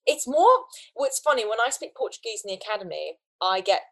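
Chatty young woman, quick restarts. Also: medium pitch. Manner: animated, talks with frequent restarts and laughs. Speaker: guest. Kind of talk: casual conversation.

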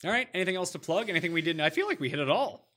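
Animated, bright-voiced male sports host. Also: high-pitched, rapid-fire. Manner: animated, slangy, joking. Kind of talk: casual fantasy football talk show banter.

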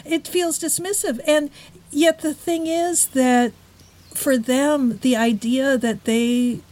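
Woman on a podcast, kinda high voice, talks slow and careful on the big words. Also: a little mellow. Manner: thoughtful, measured, slows on key words. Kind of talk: casual podcast conversation.

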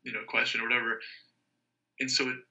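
A man's voice: medium pitch, little pitch movement, fast, bright, forceful tone, dull audio. A man chatting casually as a guest, thinking aloud and rambling with restarts. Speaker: language learner guest. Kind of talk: casual conversation.

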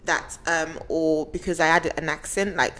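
Nigerian-born woman co-host, mixed British accent, lively casual banter. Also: low-pitched, normal speed. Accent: Nigerian and British mix. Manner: animated, frequent laughter, emphatic asides. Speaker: podcast co-host. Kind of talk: casual chat.

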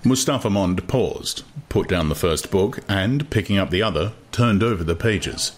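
A man's voice: low-pitched, lively intonation, moderate pace. A man narrating a literary audiobook from a script.

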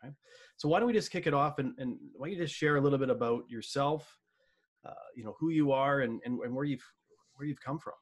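A man's voice: mid-range voice, fast. A man hosting a podcast interview, asking long, rambling questions full of fillers and restarts. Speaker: podcast host.

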